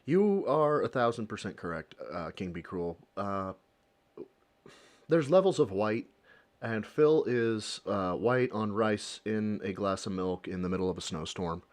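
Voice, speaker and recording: Male reaction host, animated swings in pitch, crude banter. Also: measured pace. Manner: profane, joking, cutting in over the clip. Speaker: livestream host. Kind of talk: casual reaction commentary.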